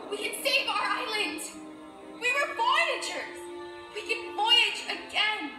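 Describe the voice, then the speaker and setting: An actress playing a spirited, determined teenage girl in an animated film, earnest and emphatic. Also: very high-pitched, takes it slowly, heavily acted. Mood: excited, pleading. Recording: roomy echo, faint music.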